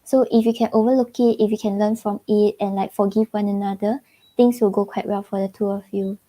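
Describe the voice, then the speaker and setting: Giggly Singaporean woman, mellow voice. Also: medium pitch, fairly even intonation, fairly quick, poor audio. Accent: Singaporean English. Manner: chatty, giggles often, many "like" fillers. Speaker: tarot reader. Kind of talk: casual livestream tarot reading.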